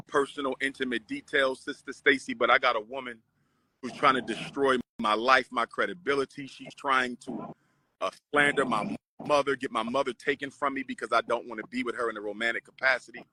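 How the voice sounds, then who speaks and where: A man's voice: mid-range voice, fairly even intonation, a little fast, bright, forceful tone, poor audio. A middle-aged man hosting a livestream, preaching and ranting to viewers he calls brothers and sisters, then chatting with a caller. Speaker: livestream host. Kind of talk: heated livestream rant and caller chat.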